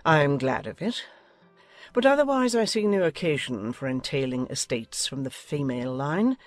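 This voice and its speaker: A woman's voice: low voice, expressive, normal speed, no room sound, faint music behind. Haughty older lady, bossy and nosy, voice swoops all over, period audiobook drama, very dry sound. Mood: imperious, condescending. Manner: haughty, decisive, interrogating. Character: imperious, nosy older aristocratic English lady.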